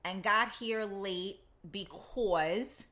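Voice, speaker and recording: A woman's voice: medium pitch, extremely slow, pushed and bright. A woman hosting a podcast, chatting casually with her guest, full of compliments and rambling asides. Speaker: podcast host. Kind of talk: casual interview chat.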